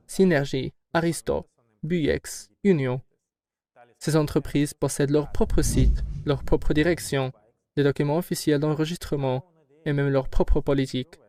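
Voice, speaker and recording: A man heading a company, giving formal, measured and assertive answers in an interview. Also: on the high side, a little mellow. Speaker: company head answering questions.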